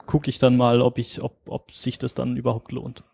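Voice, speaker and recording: A man speaks in a flat, level tone with a gravelly edge. He hesitates often and fills the gaps with plenty of ums as he talks casually on a podcast. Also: medium pitch, normal speed. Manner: hesitant, many fillers. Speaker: podcast co-host. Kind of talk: casual tech chat.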